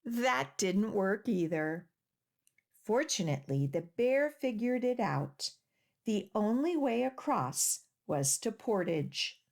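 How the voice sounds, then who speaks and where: A woman with a medium-pitched, very lively voice hosts storytime for young children. She takes her time over each phrase, speaking deliberately and plainly as she reads picture books and leads simple rhymes. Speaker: children's library storytime host.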